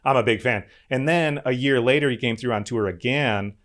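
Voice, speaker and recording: A man's voice: mid-range voice, moderate pace. A man in his thirties from Wisconsin with a Midwestern accent, a podcast guest chatting casually and telling stories full of filler words. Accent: Midwestern American. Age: 30s. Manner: relaxed storyteller, many filler "like"s. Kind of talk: casual chat.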